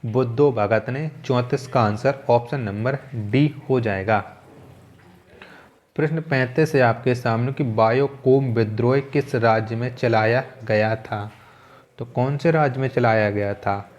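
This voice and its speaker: Man teaching, methodical quiz delivery. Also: medium pitch. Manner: methodical, repeats key answers with rhetorical questions. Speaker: exam coaching teacher. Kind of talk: quiz lesson, reading questions and explaining answers.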